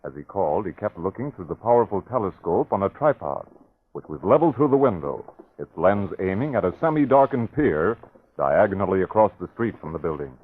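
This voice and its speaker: A male radio drama narrator tells the story in a measured, dramatic way. His voice sits low and comes through a tinny old broadcast. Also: sing-song, slightly rough. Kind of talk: scripted narration.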